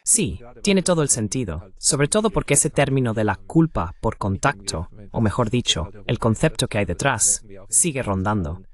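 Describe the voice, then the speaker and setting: A man's voice: mid-range voice, very animated, normal speed, close to an everyday voice. A man dubbing an inquisitive interviewer in a translated interview. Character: inquisitive adult male interviewer. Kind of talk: dubbed interview questions, voice-over translation.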